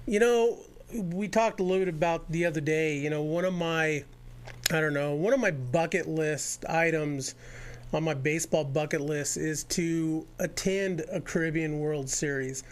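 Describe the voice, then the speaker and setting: A man's voice: on the high side, normal speed, clear, resonant tone. A man hosting a radio baseball show, interviewing a guest in a chatty, rambling way with lots of fillers.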